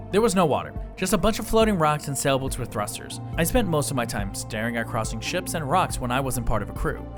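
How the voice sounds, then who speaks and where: A performer voicing a flippant, deadpan slime god who drifts through space, narrating an audio drama in the first person in a conversational, boastful way. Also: medium pitch, natural voice. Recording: music bed under the voice.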